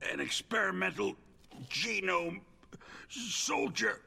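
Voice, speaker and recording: A gravelly, weary arms executive. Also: male voice, high-pitched, animated, bright, forceful tone, heavily acted. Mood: weary, pained. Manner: halting, deliberate explaining. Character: weary wounded middle-aged male arms company executive, defensive. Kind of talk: dramatic video game voice acting.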